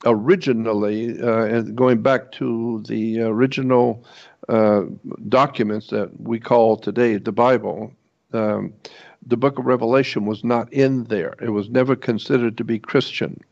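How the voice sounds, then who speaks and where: A man giving an informal explanatory lecture in a halting, rambling way, repeating points for emphasis. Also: mid-range voice, fairly even intonation, creaky, darkish tone. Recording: dull, lo-fi muffled audio.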